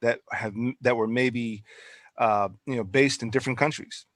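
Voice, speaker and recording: A man hosts a casual podcast and thinks aloud, tripping over words as he goes. His voice is mid-pitched and recorded dry and close, with no room sound. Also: normal speed, no room sound. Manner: rambling, stumbling, animated. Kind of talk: casual interview talk.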